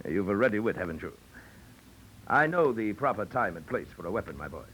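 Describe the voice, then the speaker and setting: Pompous, low-voiced gentleman villain. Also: lively intonation, normal speed. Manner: florid, grandiloquent, mock-courteous. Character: pompous titled big-game hunter villain, suave and menacing. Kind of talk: 1940s radio drama acting. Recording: noisy old 1940s radio recording.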